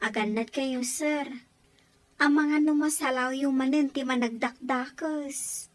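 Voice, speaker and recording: A woman's voice: sits high, steady tone, slow pace, dry recording. A woman with an Ilocano accent acting a dutiful, worried young student in a radio drama. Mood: concerned, respectful.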